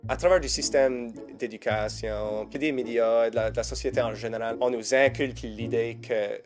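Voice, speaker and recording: A man from Nova Scotia with an Acadian French accent, speaking in a documentary interview in a measured, reflective way with hesitant restarts. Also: mid-range voice, fairly even intonation. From Baie-Sainte-Marie, Nova Scotia. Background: background music.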